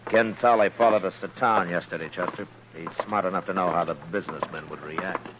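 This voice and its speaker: A dull vintage radio recording carries a low-voiced frontier marshal played by a man. He speaks in terse, measured phrases with steady, stern authority, in the manner of 1950s western radio drama. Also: background noise, lightly acted. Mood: matter-of-fact.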